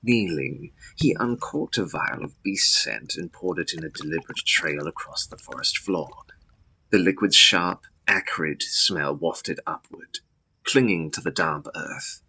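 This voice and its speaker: A man with a husky voice narrating a gothic drama in a solemn, deliberate, theatrical way. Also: low voice, expressive, bright, forceful tone, rough recording.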